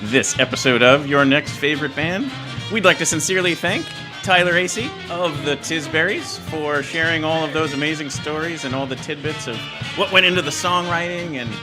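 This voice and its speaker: A man hosting a music podcast, talking casually and haltingly as he thinks aloud. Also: a little high. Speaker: podcast host.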